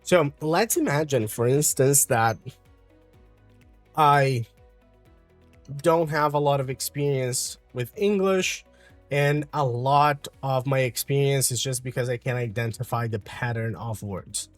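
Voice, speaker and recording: A man from Brazil with a Brazilian Portuguese accent, calmly explaining an English lesson with frequent fillers. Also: medium pitch, a little slow, no echo, soft background music. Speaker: English teacher.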